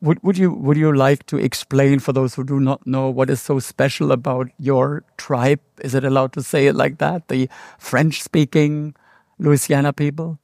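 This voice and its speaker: A man's voice: mid-range voice, fairly even intonation, moderate pace, vocal fry, darkish tone, no echo, studio quality. A man hosting a talk, asking his guest careful, hesitant questions. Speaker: host.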